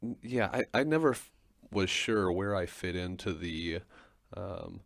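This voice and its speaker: A man from Arkansas talking in a halting way on a casual panel podcast, stumbling over words. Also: deep voice, gravelly, no room sound, clean studio sound. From Arkansas, USA. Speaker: guest.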